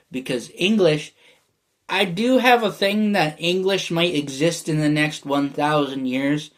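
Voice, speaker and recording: Young American man, slightly raised pitch, loose rambling solo vlog delivery with lots of fillers. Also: fairly bright tone. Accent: American, often heard as Canadian. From United States. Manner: meandering, many fillers and restarts, chuckles. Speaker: vlogger. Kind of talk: casual rambling monologue.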